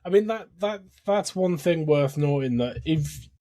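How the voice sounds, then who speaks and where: A man's voice: fairly high pitch, expressive, a little slow, dark tone, no echo. A man in casual film discussion as a podcast co-host, speaking in a blunt, opinionated way with some swearing.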